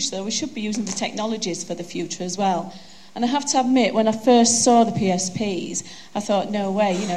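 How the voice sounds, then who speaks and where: A woman's voice: medium pitch, darkish tone. Yorkshire woman doing a conference talk into a handheld mic, chatty and jokey. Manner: chatty, self-deprecating, joking. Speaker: conference presenter. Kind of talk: conference presentation. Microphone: handheld microphone.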